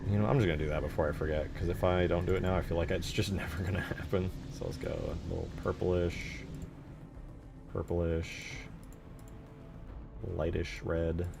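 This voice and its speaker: A man's voice: low-pitched, steady tone, moderate pace, creaky, noisy background. A man giving casual live stream commentary as he works on a game mod, thinking aloud in an informal, self-effacing way. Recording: music playing behind the voice. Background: music.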